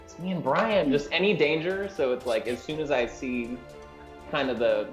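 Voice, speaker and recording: A man's voice: fairly high pitch, normal speed. Casual man in an echoey room. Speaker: player in a tabletop game. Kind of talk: casual in-game chat. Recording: roomy echo, music behind.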